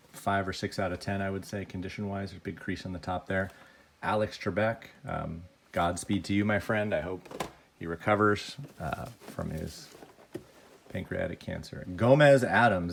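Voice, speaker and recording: Gravelly low-voiced man with a cold. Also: slight background noise. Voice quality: deeper than usual, gravelly. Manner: plain, chatty, grading each item out loud. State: has a cold. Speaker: toy unboxing vlogger. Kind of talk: casual unboxing commentary.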